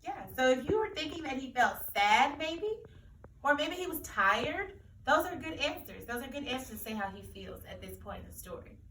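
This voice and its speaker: A woman's voice: sits high, very animated, moderate pace, a bit bright. Woman teacher in a roomy, echoey recording. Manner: explanatory, repeats key phrases for children. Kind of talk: read-aloud lesson discussion for children. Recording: roomy echo, distant mic, faint noise.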